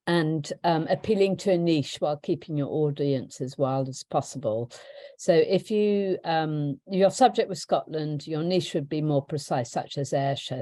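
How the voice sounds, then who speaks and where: A woman hosting a business webinar, talking through slides in a hesitant way with lots of ums and a croaky voice. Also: low-pitched, mellow, dry recording. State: croaky voice, clearing throat. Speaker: webinar host for a holiday rental business.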